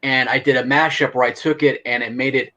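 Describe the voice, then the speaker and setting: A man's voice: medium pitch, fairly even intonation, a little fast, sharp tone, dull audio. Enthusiastic middle-aged man in casual conversation. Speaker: guest, actor and writer. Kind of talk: casual interview chat.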